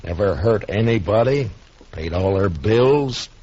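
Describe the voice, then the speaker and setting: A man playing a weary, troubled frontier marshal in a 1950s radio western, brooding, clipped and plain-spoken, with a rough edge. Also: lowish voice, animated, measured pace, warm tone. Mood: troubled, bitter. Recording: muffled old 1950s radio recording.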